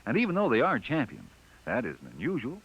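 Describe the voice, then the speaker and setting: A man's voice: mid-range voice, wildly swooping pitch, a little fast, fairly bright tone, husky. A man reading a 1950s radio commercial in a chummy, persuasive way, talking straight to listeners. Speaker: commercial announcer. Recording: dull old radio broadcast.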